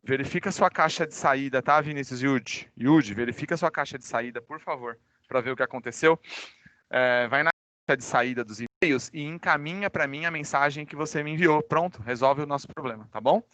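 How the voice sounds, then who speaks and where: A Brazilian man, a high school teacher with a cold, giving informal class announcements online and addressing students directly. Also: fairly quick, fairly bright tone, no echo. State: recovering from a cold. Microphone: microphone.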